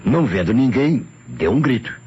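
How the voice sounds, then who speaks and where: A man's voice: medium pitch, big pitch swings, darkish tone, husky. An actor with a Brazilian accent playing a polite male doctor giving careful, formal testimony in an old radio drama, on a muffled, noisy recording. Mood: earnest, explanatory. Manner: formal, explanatory, deliberate.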